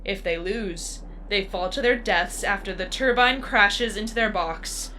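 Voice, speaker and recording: A woman's voice: mid-range voice, a little slow, pushed and bright, a little hiss or hum, rough recording. A woman playing a disembodied, coolly menacing female announcer of a deadly arena game in an audio drama, composed and instructive. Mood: condescending, detached. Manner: composed, instructive, matter-of-fact about death. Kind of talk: scripted audio drama acting.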